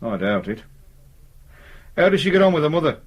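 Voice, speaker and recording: An actor playing a matter-of-fact British detective sergeant in a radio drama, questioning in a dry, measured way. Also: male voice, a little high, big pitch swings, husky, highs cut off. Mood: dry, reassuring. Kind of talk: naturalistic radio drama acting.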